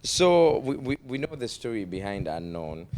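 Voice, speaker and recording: A very deep-voiced Ugandan man hosts an interview show, his pitch swinging widely as he stretches out key words and laughs along. A faint low rumble sits behind him. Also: big pitch swings, darkish tone. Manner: emphatic, drawn-out delivery with frequent laughter. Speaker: talk show host. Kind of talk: interview chat mixing English and Luganda.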